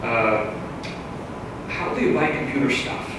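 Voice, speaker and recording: A man's voice: mid-range voice, expressive, unhurried. A middle-aged man from Russia, a startup CEO, telling a casual story to an audience with lots of fillers and restarts. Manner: chatty, many fillers and restarts. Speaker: tech startup CEO giving a talk. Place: small conference room with audience. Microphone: far camera, no mic on him. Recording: very echoey room from far off, audible noise.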